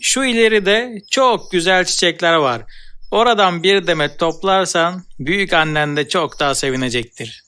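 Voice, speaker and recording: A woman's voice: lowish voice, normal speed, fairly bright tone, clear, resonant tone, voice pushed down, natural voice. A woman voicing a sly, evil-hearted old male wolf who coaxes and schemes in a children's fairy tale. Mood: coaxing.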